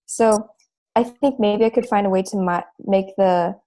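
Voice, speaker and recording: A woman's voice: medium pitch, steady tone, moderate pace, warm tone. A teenage girl, a native Chinese speaker, reflectively discussing her own essay with a tutor over a video call. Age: teens. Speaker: student.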